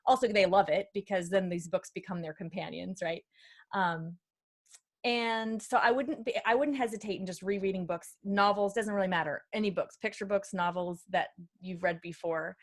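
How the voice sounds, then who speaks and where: A woman's voice: medium pitch, moderate pace. A woman talks as a guest expert in an informal panel conversation. She explains things in a chatty way with a mid-range voice, often slipping in imagined quotes to illustrate her advice. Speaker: guest expert on reading aloud with children.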